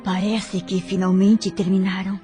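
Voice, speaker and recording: A woman with a Brazilian accent playing a humble, awed spirit learner in an audio drama, with a soft, breathy voice. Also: medium pitch, level tone, measured pace, soft background music, natural voice. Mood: awed, grateful.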